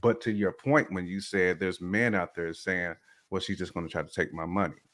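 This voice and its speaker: A man's voice: low voice, steady tone, normal speed, fairly bright tone. A man with a Southern American accent co-hosting a casual talk show, laid-back and slangy. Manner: laid-back, uses slang, says "like" often. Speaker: co-host. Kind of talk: casual podcast chat.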